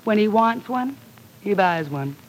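A woman's voice: medium pitch, lively intonation, unhurried, rough recording. An actress playing a wealthy, poised young society woman who teases and laughs lightly, in 1940s radio acting style. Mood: amused.

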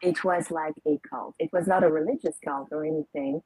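Husky-voiced woman, dry close sound. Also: sits low, fairly even intonation, mellow, no room sound, rough recording. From Lebanon. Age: young adult. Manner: reflective, frequent ums and pauses. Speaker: guest. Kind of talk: personal storytelling interview.

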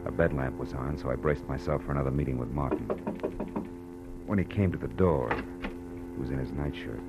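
A hard-boiled American private detective in an old radio drama. His voice sits very low, with terse, wisecracking delivery. Music plays clearly behind him. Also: moderate pace. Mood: terse narrating. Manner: clipped, wisecracking, tough. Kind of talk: 1940s radio detective acting and first-person narration.

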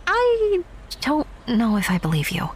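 A woman's voice: sits high, huge pitch swings, measured pace, background noise, heavily acted. A woman playing a wry young theater girl. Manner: sarcastic, guarded. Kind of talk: audio drama acting.

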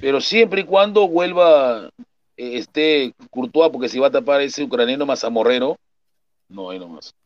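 A man's voice: medium pitch, lively intonation, moderate pace, dull audio. A man on a football panel, cracking teasing, mocking jokes about players. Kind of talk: casual football banter.

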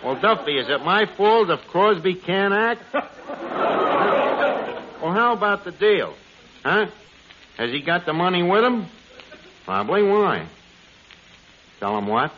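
A high male voice plays a brash New bar manager in a 1940s radio comedy, tossing off wisecracks in mangled English. The sound is muffled, with a loud studio audience behind him. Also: big pitch swings, unhurried, long pauses, a loud crowd, very noisy. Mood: sheepish, blunt.

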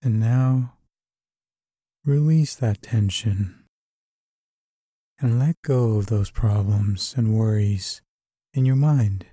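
A man narrating a guided sleep meditation in a calm, unhurried, soothing manner, giving gentle instructions. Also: fairly low pitch, dry recording, studio quality.

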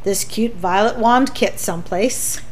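A woman's voice: medium pitch, measured pace, slight background noise. A woman co-hosting a casual podcast, trading dry, teasing quips. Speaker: podcast co-host.

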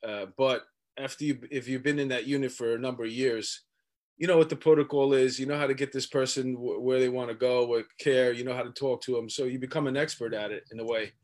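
A man's voice: mid-range voice, fairly even intonation, fast, darkish tone. A man in his fifties co-hosting a conversation, joking and rambling on a video call. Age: 50s. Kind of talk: casual conversation.

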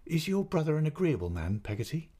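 A man's voice: on the high side, sing-song, fast, dark tone, breathy edge, voice pushed up. A man voicing an earnest, insistent small boy.

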